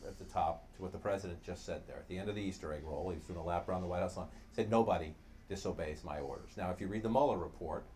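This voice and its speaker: A man's voice: sits low, racing, darkish tone. A man anchoring a television news programme from a studio. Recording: TV broadcast with faint ambient noise.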